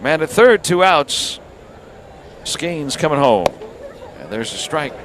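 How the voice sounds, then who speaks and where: A man's voice: on the high side, takes it slowly. Baseball radio announcer man over ballpark noise. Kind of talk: live radio sports commentary. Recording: noisy outdoor ballpark radio broadcast. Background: steady ballpark ambience.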